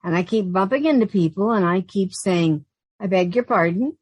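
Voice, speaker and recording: A woman's voice: mid-range voice, normal speed, darkish tone, breathy edge, no room sound, close to an everyday voice. A woman playing a wry, long-suffering young city woman who narrates and wisecracks sardonically in a comic old-time radio play. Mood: wry, resigned.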